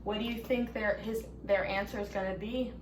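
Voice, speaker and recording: A woman reading a children's story aloud, expressive and deliberate, stopping to prompt young listeners with questions. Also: medium pitch. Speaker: storybook reader leading children. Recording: roomy echo, faint background noise.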